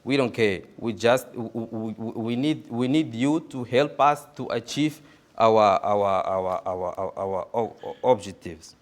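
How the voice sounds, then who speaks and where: A man giving a conference presentation, halting and repeating words often. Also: lowish voice. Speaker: conference speaker.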